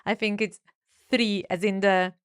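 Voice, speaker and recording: A woman's voice: mid-range voice, little pitch movement, a little slow, clean tone, no room sound, clean studio sound. Italian-accented woman, eager co-host. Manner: chatty, eager learner repeating words. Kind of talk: friendly language lesson.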